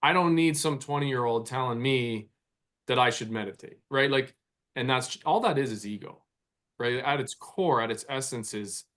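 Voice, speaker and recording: Guest man, forties, reflective coach, medium pitch, repeats himself mid-thought. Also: normal speed, a bit bright. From Canada. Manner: reflective, repeats words while thinking. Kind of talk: conversational podcast interview.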